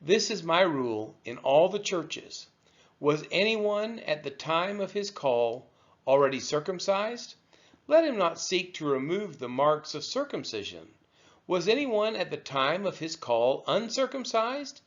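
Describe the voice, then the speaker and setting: A man with a slightly high voice reads scripture aloud. His intonation swings widely, with measured, emphatic phrasing. The recording sounds somewhat dull and band-limited. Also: big pitch swings. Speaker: scripture reader.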